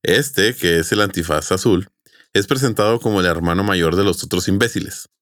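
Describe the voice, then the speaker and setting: Low-voiced Mexican man telling stories casually. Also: normal speed, a bit bright, dry recording. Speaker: podcast co-host. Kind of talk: casual storytelling.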